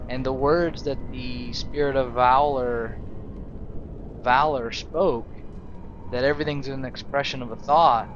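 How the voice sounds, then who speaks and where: A man hosting a gaming video, improvising role-play narration in a halting, searching storytelling manner. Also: mid-range voice, dull audio. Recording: noisy game audio behind voice. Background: video game ambience.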